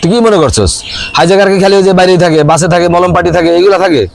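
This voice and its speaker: A man's voice: sits high, fast talker, vocal fry, slight background noise. A man with a Bangladeshi accent acting as a broke, aggrieved city office worker in a comic drama, grumbling to a friend in colloquial speech. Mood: animated grumbling. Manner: animated grumbling storyteller, colloquial and exasperated. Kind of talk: scripted comic drama dialogue.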